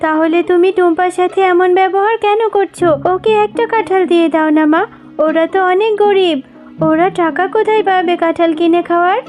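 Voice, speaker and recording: A kind young girl animal from a cartoon, played by a woman. She speaks very high, in an earnest and childlike way. Music is heard behind the voice. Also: fairly quick, darkish tone. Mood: pleading. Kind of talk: cartoon voice acting.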